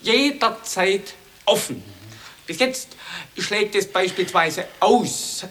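A man's voice: high-pitched, expressive, slow pace, pushed and bright, a little hiss or hum, heavily acted. A man playing an earnest, polite inventor in a satirical radio drama, explaining things formally.

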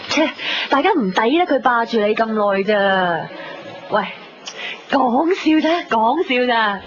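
An actress playing a lively, teasing, gossipy friend in a Hong Kong Cantonese radio drama. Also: female voice, a little high, slow, slight background noise. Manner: playful, chatty. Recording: dull lo-fi radio recording with music.

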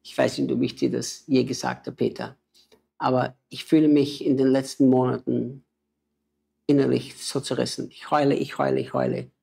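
A man's voice: mid-range voice, little pitch movement, moderate pace, gravelly, mellow, slightly rough. A man, a missionary preacher and native German speaker, talking with emotional, urgent exhortation in a conversation.

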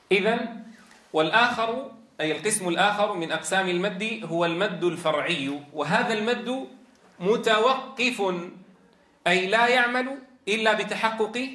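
A man with a Levantine accent and a congested, tired voice, teaching a recitation lesson with careful, deliberate enunciation. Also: sits high, lively intonation, sharp tone. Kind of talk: lesson explaining a memorised verse text. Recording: echoey room reverb.

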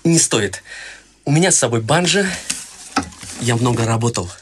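A man's voice: mid-range voice, animated, moderate pace, background noise, close to an everyday voice. An actor playing an earnest, devoted young man in a radio play, with a shy, sincere way of talking. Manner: earnest, shy, turns urgent when alarmed.

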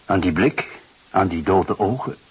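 An actor playing a stern police detective who questions a suspect in a gruff, husky voice, insistent and terse, in a crime drama. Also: male voice, low-pitched, lively intonation, measured pace, a little mellow, muffled recording, exaggerated voice. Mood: probing. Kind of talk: Dutch crime drama acting, interrogation.

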